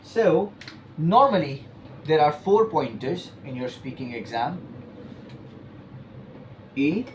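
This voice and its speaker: A man teaching an exam-prep lesson, explaining points emphatically and stretching key words between pauses. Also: on the high side, slight background noise, rough recording. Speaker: IELTS teacher.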